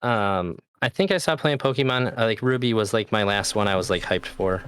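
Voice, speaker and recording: A man's voice: on the low side, lively intonation, brisk, fairly bright tone, a little hiss or hum. A man in his thirties chatting casually, loose and talkative, swearing now and then. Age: 30s. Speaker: podcast host. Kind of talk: casual chat.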